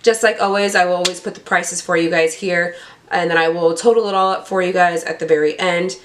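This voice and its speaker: A young woman with a cold-roughened, hoarse voice, chatting casually as she unboxes beauty products and stumbles over product names. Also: on the low side, fairly even intonation, a little fast. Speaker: beauty vlogger.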